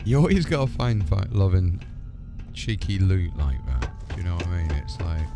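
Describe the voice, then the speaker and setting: A British man with a deep voice commentates casually on a video game as he plays. He talks informally, as if thinking aloud to viewers. Loud music plays behind his voice throughout. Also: expressive, normal speed. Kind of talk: casual live gameplay commentary.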